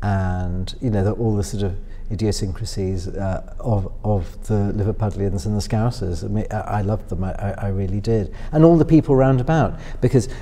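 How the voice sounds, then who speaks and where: A middle-aged man from Northwest England with a softened upper-middle-class accent, musing through interview answers with many fillers and restarts. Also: on the low side, normal speed, few pauses. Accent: upper-middle-class Northwest English softened by London. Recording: voice with long hall reverb.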